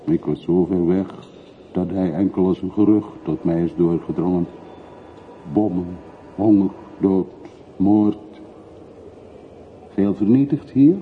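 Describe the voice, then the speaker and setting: A man's voice: low-pitched, slow, long pauses. An actor playing a reserved, brooding traveller in his forties in a radio play, terse and guarded. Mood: sombre. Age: 40s. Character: reserved, brooding traveller of forty-three, reluctantly back home. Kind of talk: radio drama acting. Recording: muffled narrowband radio recording with hiss.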